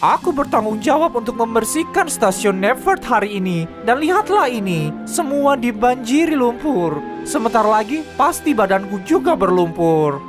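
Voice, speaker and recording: A woman's voice: a little high, sing-song, normal speed. A woman voicing a vain, fussy male steam engine with a dramatic manner in a children's cartoon dub. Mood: dismayed. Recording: background music under the voice.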